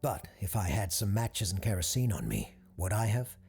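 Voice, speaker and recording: A man's voice: fairly low pitch, very animated, moderate pace, gravelly, a little mellow, husky, full character voice. A man voicing a troubled, plain-spoken working man giving weary, defensive testimony in an audio drama. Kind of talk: quoted testimony within audio drama narration.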